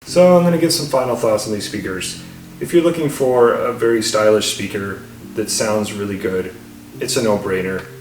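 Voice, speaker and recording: A man giving a casual, unscripted gear review as channel host, halting and rambling, often repeating his words. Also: fairly low pitch, a little mellow, a little hiss or hum. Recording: roomy echo, distant mic, faint music.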